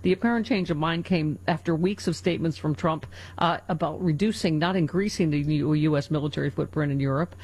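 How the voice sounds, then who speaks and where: A woman's voice: deep voice, brisk, darkish tone, breathy edge, low-quality recording. A middle-aged woman hosting a political talk radio show, reading news items and joking sardonically between them. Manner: sardonic, laughs often, reads news items aloud.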